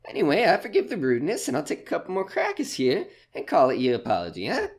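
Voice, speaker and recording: A man's voice: a little high, huge pitch swings, quick pace, gravelly, slightly rough, full character voice. A man playing a falsely friendly, menacing stranger in an audio drama, mock-polite and sing-song. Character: menacing, falsely friendly male stranger, oily uninvited guest.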